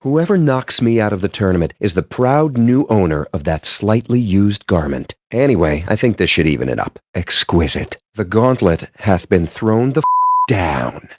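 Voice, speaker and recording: A man's voice: on the low side, very animated, normal speed. A man voicing a wry, pompous, foul-mouthed gamer geek in video game dialogue, with a sardonic, wordy and theatrical delivery.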